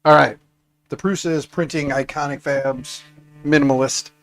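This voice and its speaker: A man hosting a casual livestream, congested and raspier than usual, rambling and repeating himself as he talks to his chat. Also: fairly high pitch, steady tone, moderate pace, fairly bright tone. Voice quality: raspy, congested. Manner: mild swearing, offhand. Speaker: livestream host.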